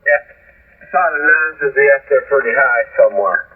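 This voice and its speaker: A man's voice: mid-range voice, normal speed, slightly rough. A man in casual conversation as an amateur radio operator over a shortwave link. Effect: shortwave radio transmission. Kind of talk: casual ham radio chat. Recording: narrow, lo-fi shortwave radio audio.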